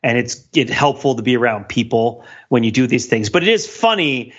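A man's voice: medium pitch, lively intonation, normal speed, a bit bright, dull audio. A man co-hosting a casual podcast discussion, rambling and interrupting himself as he thinks aloud. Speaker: podcast host.

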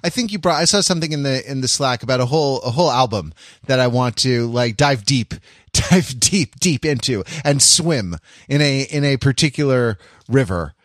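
A man of middling pitch moderates a casual panel chat. He speaks haltingly with wry mock seriousness, and the sound is dry, with no room echo. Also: lively intonation. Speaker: podcast host.

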